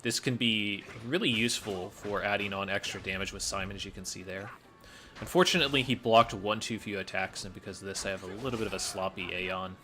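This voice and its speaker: A man's voice: fairly low pitch, fairly even intonation, a little fast, bright, forceful tone, faint music behind. A man giving a casual gameplay commentary as a speedrunner explaining his play.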